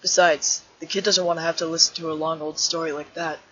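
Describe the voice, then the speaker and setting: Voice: mid-range voice, level tone, fairly quick, fairly bright tone, highs cut off, lightly acted. A performer voicing a laid-back, guarded male skeleton with slangy casual talk in a fan comic dub. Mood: weary, evasive. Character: Sans, laid-back male skeleton hiding a painful past.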